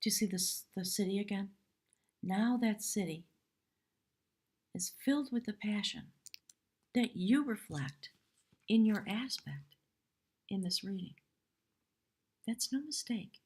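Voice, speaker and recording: Woman, tarot reader, hoarse and breathy, measured reverent delivery, short halting phrases, medium pitch. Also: darkish tone. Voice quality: husky, breathy. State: hoarse from much talking. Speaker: tarot reader, live stream host. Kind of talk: live tarot reading, intuitive spiritual commentary.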